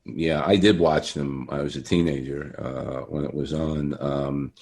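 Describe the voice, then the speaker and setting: A man's voice: very deep voice, steady tone, a little slow, a little mellow. A man in his sixties interviewing casually, rambling and repeating his phrases as he starts. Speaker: podcast interviewer.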